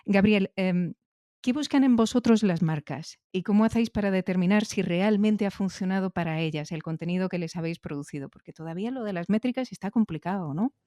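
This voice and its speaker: A woman's voice: mid-range voice, a little fast, warm tone, no echo. Dry-sounding woman interviewing. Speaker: host, interviewer. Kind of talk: conversational podcast interview.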